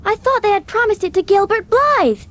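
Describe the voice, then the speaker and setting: A woman playing an earnest, impulsive, warm-hearted teenage orphan girl in an audiobook drama, speaking with eager emotion. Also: extremely high pitch, expressive, normal speed, slight background noise.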